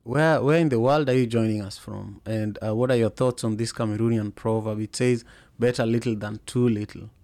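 A man hosts a casual live audio chat, his pitch staying level and unvaried. He speaks haltingly, with frequent fillers and restarts. The sound is dry and close, with no room echo. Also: steady tone, darkish tone. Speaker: podcast host.

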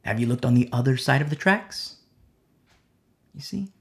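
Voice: mid-range voice, animated, normal speed. A preacher delivering a sermon, with rhetorical questions and a repeated "you see?".

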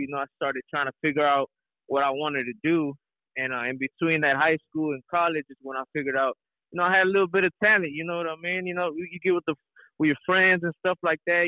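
A man's voice: on the high side, dry recording. A young man from Mexico who grew up in Texas, chatting casually as an interview guest and often saying "you know". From Mexico, raised in Houston, Texas. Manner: peppers talk with "you know". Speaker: guest, rapper and reggaeton singer. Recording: narrow, tinny phone line.

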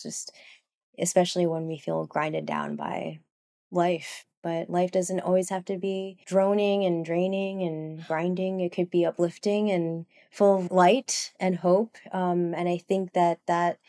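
A woman's voice: fairly low pitch, steady tone, measured pace, a little mellow. Chatty woman guest with many fillers. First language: Cantonese. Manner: rambling, many fillers, laughs often. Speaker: guest, comics artist. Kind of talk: casual interview chat.

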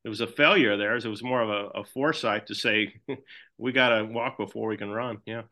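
A middle-aged man, a baseball team manager, answering questions in a relaxed, rambling way and repeating words. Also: fairly low pitch, brisk. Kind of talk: casual interview answers.

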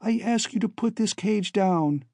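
A man's voice: sits high, unhurried, warm tone, no room sound, clean studio sound, voice pushed up. A man voicing a pleading young captive woman, a daughter, in an audiobook story.

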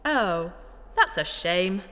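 A woman's voice: fairly low pitch, expressive, slow, bright, forceful tone, exaggerated voice. A woman playing an eager, naive, childlike female robot who is morbidly curious, in a sci-fi audio drama. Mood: disappointed. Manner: speaks of herself in third person, singsong pleading. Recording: long hall-like reverb.

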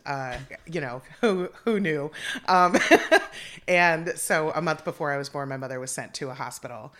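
A woman's voice: low voice, a bit bright. A middle-aged woman, a guest on a podcast, chatting casually about her life and laughing often. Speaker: podcast guest, dance and theater teacher. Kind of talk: casual interview chat.

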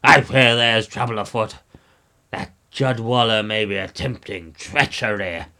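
A man's voice: on the low side, unhurried, pushed and bright. A man voicing a suspicious, worried king in a storybook tale. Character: bleary, suspicious male king roused in bed.

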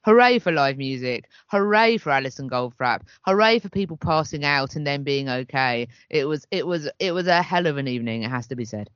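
A man's voice: fairly high pitch, animated, brisk, few pauses, a bit bright, clear, resonant tone, no echo, muffled recording. A man co-hosting a podcast, chatting enthusiastically in long rambling sentences full of restarts. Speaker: podcast co-host. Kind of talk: casual chat.

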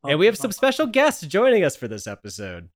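Joking male podcast host, animated pitch. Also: a little fast. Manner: joking, absurd humor. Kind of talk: casual comic banter.

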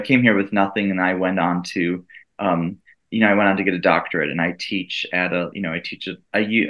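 A man's voice: sits low, sharp tone. A man in his thirties, a native Italian speaker raised in the United States, talking earnestly and reflectively as an interview guest. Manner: earnest, reflective, laughs lightly. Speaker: guest, event co-director and teacher. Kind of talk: casual interview conversation.

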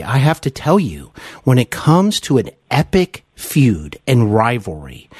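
A man's voice: mid-range voice, husky, no echo, studio quality. A man hosting a podcast interview, asking earnest, effusive questions in a hesitant, roundabout way. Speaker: podcast host interviewing a guest.

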